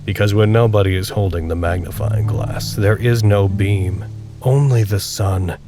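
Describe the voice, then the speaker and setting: A man narrating a literary drama podcast as a solemn, reflective storyteller, speaking in a deliberate way. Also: fairly low pitch, fairly even intonation, lightly acted. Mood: earnest, reflective. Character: solemn, reflective male storyteller of a bleak tale. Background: music with guitar.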